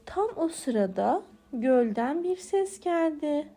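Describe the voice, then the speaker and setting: Female children's storyteller, very high and unhurried, for bedtime tales. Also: big pitch swings, warm tone, soft background music. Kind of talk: scripted bedtime story narration for small children.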